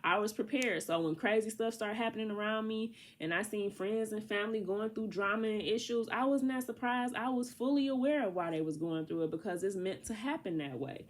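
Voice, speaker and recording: A woman's voice: medium pitch, fairly quick, few pauses. A woman giving a casual tarot reading. She sounds congested from allergies and talks to the viewer in a chatty, slangy way. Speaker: tarot reader.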